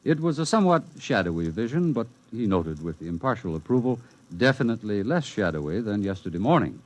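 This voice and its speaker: A man narrating a futuristic 1950s radio drama in a measured, wry way over music. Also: fairly low pitch, sing-song, darkish tone, poor audio.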